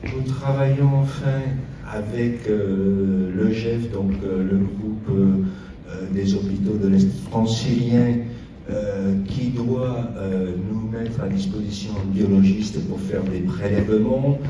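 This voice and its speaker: A man giving an informal public progress report as a local official, speaking haltingly with long hesitant pauses. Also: lowish voice, steady tone, mellow. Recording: roomy echo, distant mic, noisy.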